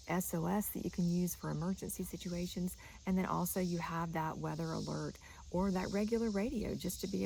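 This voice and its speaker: Female product reviewer, low-pitched with some fry, casual and explanatory, faint noisy background. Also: darkish tone. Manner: chatty, instructional.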